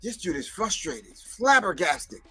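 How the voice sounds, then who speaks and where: A man's voice: high voice, sing-song, a little slow, over music. A man whose first language is not English, hosting a livestream and preaching his points emphatically while answering chat comments. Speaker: host.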